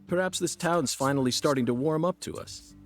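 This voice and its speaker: A man's voice: a little high, a little fast, soft background music, natural voice. An actor playing a cheerful, eccentric male FBI agent in game dialogue who keeps talking to an unseen companion. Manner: chatty, talks to an unseen companion.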